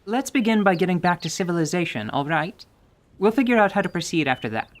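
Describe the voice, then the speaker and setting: A woman voicing a calm, protective horned giant woman in a tabletop radio drama, measured and gently dry. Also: lowish voice, natural voice.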